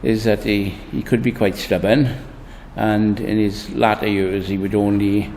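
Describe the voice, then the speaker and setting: A man answering an interview question in a thoughtful, hesitant way, with frequent pauses. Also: on the low side, flat delivery, a little mellow. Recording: faint noise with long reverb.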